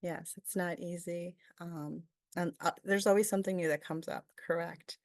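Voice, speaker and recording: A woman's voice: lowish voice, fairly even intonation, moderate pace, darkish tone, dry recording, studio quality. A woman from Peru giving a webinar presentation, thoughtful and full of fillers, often asking "right?".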